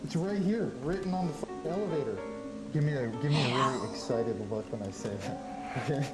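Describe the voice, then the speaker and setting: A man's voice: mid-range voice, expressive, fairly quick, reverberant, rough recording. A man talking casually on location as the host of a paranormal investigation. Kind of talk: casual on-location ghost hunt talk. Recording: clear music over location video audio.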